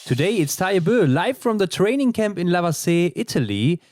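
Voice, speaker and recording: A man's voice: sits high, sing-song, normal speed, darkish tone, soft background music. A young man co-hosting a sports podcast, chatting casually and interviewing a guest with many fillers.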